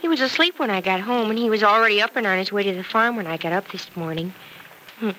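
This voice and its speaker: A woman's voice: mid-range voice, fast, sharp tone, lightly acted. An actress playing a chatty, fretful young married woman in an old radio soap, earnest and girlish, on a noisy vintage recording. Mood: worried. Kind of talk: mid-century radio soap acting.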